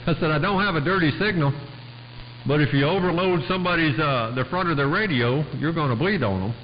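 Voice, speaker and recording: A man's voice: on the high side, fairly quick. A man chatting casually on a two-way radio in a folksy, rambling way full of radio lingo. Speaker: amateur radio operator. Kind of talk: casual radio chat between operators. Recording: muffled radio transmission with clear static noise.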